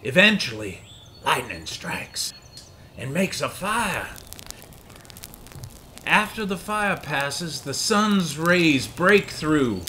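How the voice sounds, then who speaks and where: Slow, bright-voiced man telling a story. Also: fairly high pitch, slight background noise. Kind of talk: scripted narration for a children's cartoon.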